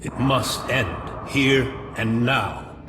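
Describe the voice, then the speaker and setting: Solemn mystic in cavernous echo. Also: male voice, medium pitch, drawn-out words, very noisy. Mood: grave warning. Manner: solemn, portentous. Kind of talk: dramatic video game acting. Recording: long echoing reverb, loud music behind.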